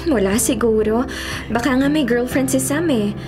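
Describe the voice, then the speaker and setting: An actress playing a feisty, expressive young Filipino college woman, a mayor's bratty daughter, in a radio drama. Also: fairly high pitch, normal speed, natural voice. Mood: earnest. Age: young adult. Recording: loud music under the voice.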